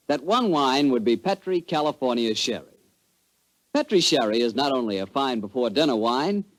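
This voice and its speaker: A man announcing in 1940s radio commercial style, delivering a smooth, conversational sales pitch as sponsor spokesman. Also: low-quality recording.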